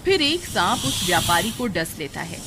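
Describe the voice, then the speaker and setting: Female narrator, scripted storytelling, animated intonation, loud background noise. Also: lowish voice, normal speed. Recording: very noisy background.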